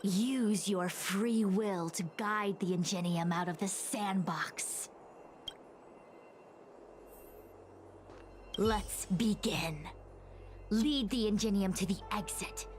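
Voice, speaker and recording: A woman voicing a solemn, commanding female master diviner in a video game, speaking gravely and formally. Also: medium pitch, slow pace, soft background music, lightly acted. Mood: coolly challenging. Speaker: voice actor in a game. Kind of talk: dramatic game cutscene acting.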